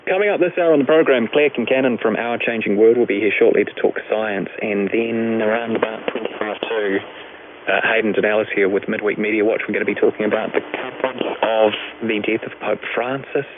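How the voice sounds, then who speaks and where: A man's voice: on the low side, fairly even intonation, fairly quick, noisy background. A man with a New Zealand accent hosting a radio talk show in a chatty, informal, musing way. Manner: conversational, musing aloud with tag questions. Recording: thin narrow-band shortwave radio.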